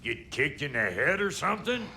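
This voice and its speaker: A man's voice: fairly high pitch, sing-song, moderate pace, gravelly, sharp tone, slight background noise, exaggerated voice. A man voicing a brash, cranky, foul-mouthed video game character with a blunt, griping manner. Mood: irritated, mocking.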